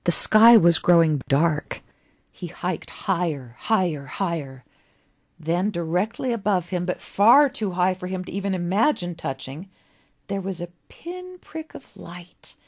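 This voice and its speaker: A woman reading literary fiction aloud as an author would, with an expressive, dramatic storybook delivery and deliberate pauses. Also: lowish voice. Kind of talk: expressive scripted story narration.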